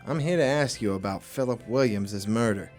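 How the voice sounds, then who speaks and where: Man acting a blunt, deadpan noir detective. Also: medium pitch, moderate pace, faint music behind, lightly acted. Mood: businesslike. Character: hardboiled, blunt male private investigator in noir mystery. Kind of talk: noir radio drama with first-person narration.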